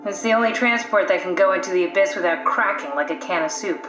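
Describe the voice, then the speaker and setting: A woman's voice: lowish voice, fast talker, lightly acted. An actress voicing a pragmatic female scientist whose mind lives in a machine, with a dry, matter-of-fact way of explaining things in a video game. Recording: echoey room, music underneath.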